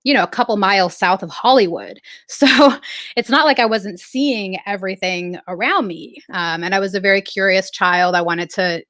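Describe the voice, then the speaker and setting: Mid-pitch woman musing slowly, hesitant, lots of ums, dry room. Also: fairly bright tone, dry recording. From Southern California. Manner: reflective, halting, many fillers. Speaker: guest artist. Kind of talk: casual interview storytelling.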